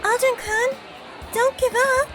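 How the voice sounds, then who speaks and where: An extremely high, cutesy young girl, eager and devoted to her hero. She speaks slowly, in the style of an audiobook drama about gamers. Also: fairly even intonation, music in the background, a crowd in the background. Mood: eager cheering. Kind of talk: amateur audiobook drama character acting.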